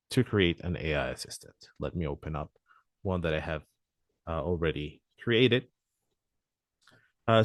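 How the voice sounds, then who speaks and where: A man presenting a software demo walkthrough in a webinar, talking hesitantly with frequent "uh" fillers. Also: sits low, no echo, clean studio sound.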